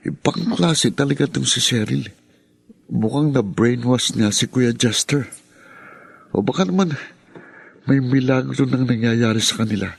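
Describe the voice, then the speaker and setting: A man's voice: mid-range voice, gravelly, husky, no room sound. A man with a Filipino accent playing a spiteful, taunting younger brother and defiant son in a radio drama, with a sneering, melodramatic delivery.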